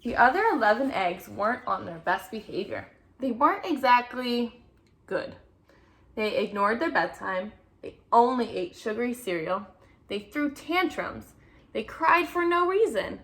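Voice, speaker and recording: A woman voicing an earnest, anxious-to-please male egg in an expressive children's picture-book read-aloud. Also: on the high side, measured pace. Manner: expressive storybook reading with playful emphasis.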